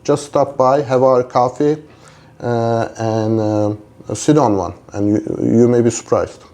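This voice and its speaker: A man's voice: mid-range voice, fairly even intonation, slow, warm tone. A middle-aged man from Turkey speaking conversationally as a podcast guest, with a polite, appreciative manner. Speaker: interview guest, company co-founder.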